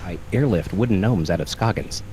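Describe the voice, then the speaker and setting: Man playing a low-voiced, cagey small-time smuggler. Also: brisk, warm tone, a little hiss or hum, lightly acted. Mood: guarded, secretive. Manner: terse, evasive. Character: shady, cagey male small-time smuggler, terse and guarded. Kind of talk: cartoonish video game dialogue.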